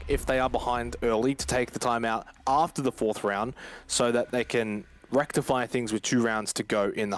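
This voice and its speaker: A man's voice: mid-range voice, a little hiss or hum. A man commentating live on a shooter match, conversational and repeating his points for emphasis. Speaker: esports commentator.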